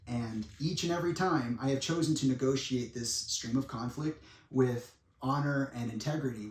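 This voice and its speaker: A man in his twenties giving a monologue to viewers in a dramatic, deliberate way, with pauses and blunt asides. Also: mid-range voice, a little mellow. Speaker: speaker making a personal public statement. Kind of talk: semi-prepared monologue addressed to viewers. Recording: echoey room, distant microphone.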